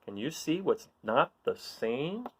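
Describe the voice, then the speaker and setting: A man's voice: mid-range voice, very animated, takes it slowly, slightly rough. A man hosting a children's read-aloud, reading a picture book and chatting in an encouraging way, often putting questions to his listeners.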